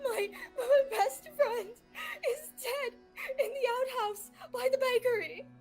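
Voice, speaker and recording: A woman's voice: very high voice, slow pace, soft background music, full character voice. A woman playing a distraught townswoman in a radio theatre piece. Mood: tearful. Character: distraught townswoman out on a morning stroll.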